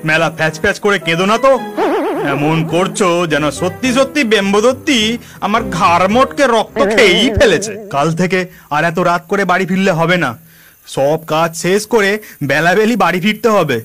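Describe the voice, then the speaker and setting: A man voicing a superstitious, excitable village husband in a cartoon, exclaiming and repeating his words in fright. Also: high voice, normal speed. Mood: irritated. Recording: music behind the voice. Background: music.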